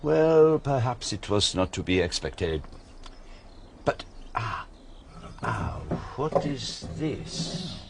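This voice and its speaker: Polite foreign detective in a radio play, lowish voice, slow careful probing questions, some noise behind. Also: male voice, very animated, creaky, exaggerated voice. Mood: curious, musing. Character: courteous, inquisitive, methodical foreign detective. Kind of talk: radio drama acting.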